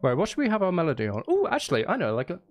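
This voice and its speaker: A man chats casually on a livestream while working on music. His delivery is playful and rambling, with lively swings in pitch and a noticeable creak. Faint music plays behind him. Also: very fast, dry recording. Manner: playful, rambling, thinking aloud. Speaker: streamer. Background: electronic music being composed.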